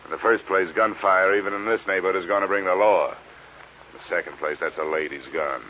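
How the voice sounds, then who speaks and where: An actor playing a tough, sardonic American insurance investigator, wry and blunt, in a vintage radio detective drama. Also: male voice, low-pitched, a little fast, natural voice. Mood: wry, defiant. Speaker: lead detective and narrator. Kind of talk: 1950s radio detective acting. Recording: muffled, hissy old radio recording.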